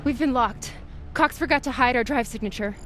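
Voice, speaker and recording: A woman's voice: high-pitched, brisk, fairly bright tone. An actress playing an earnest, worried female spaceship crew member in a video game. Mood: urgent. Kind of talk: dramatic video game acting. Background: music.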